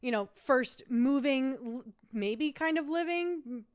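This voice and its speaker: A woman's voice: high-pitched, unhurried, clean tone. A woman co-hosting a casual recap podcast, chatty and drily teasing. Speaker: podcast co-host. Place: studio.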